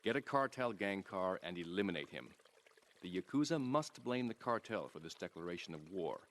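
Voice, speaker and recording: An actor playing a composed, calculating, wealthy crime patron in a video game, speaking in a pompous, businesslike way. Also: male voice, deep voice, big pitch swings, brisk, fairly bright tone, slight background noise, exaggerated voice. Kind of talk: video game mission briefing.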